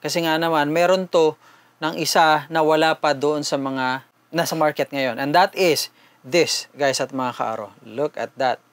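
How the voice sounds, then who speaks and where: A man's voice: on the high side, a little slow, no room sound. A man with a Filipino accent doing a casual, conversational unboxing and product review, mixing two languages as he talks informally to viewers.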